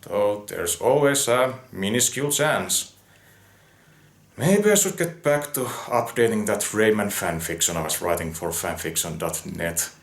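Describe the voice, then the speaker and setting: A man's voice: on the low side, expressive, a little slow. A man reading a scripted review as narration, opinionated and given to wry asides.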